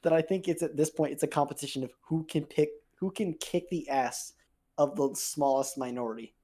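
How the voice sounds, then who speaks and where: A man's voice: on the high side, a little fast, warm tone. A young man co-hosting a podcast, chatting crudely and casually, rambling, swearing and trailing off mid-sentence. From Filipino heritage. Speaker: podcast co-host.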